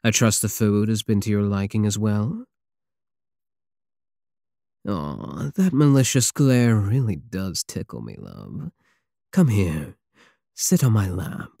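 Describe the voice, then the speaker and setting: Pirate king for ASMR roleplay, male actor: big, possessive, sardonic teaser, unhurried delivery, dry close sound. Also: lowish voice, big pitch swings, creaky, dark tone, no echo, exaggerated voice. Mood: teasing, smug. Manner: slow, teasing, purring endearments, sardonic. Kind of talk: intimate ASMR roleplay acting.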